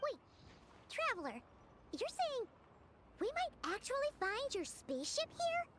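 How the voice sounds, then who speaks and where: A woman voicing a small, cheerful, boastful childlike fairy sidekick in a video game, with a squeaky voice and bubbly manner. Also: huge pitch swings, a little slow, very noisy, exaggerated voice. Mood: curious. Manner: bubbly, refers to self in third person. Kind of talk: video game character dialogue. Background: game music.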